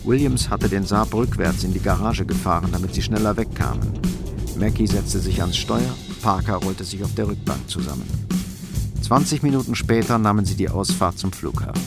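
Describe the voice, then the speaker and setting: A man's voice: deep voice, lively intonation, moderate pace, gravelly. A man reading scripted narration for a crime radio drama. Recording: prominent music behind the narration.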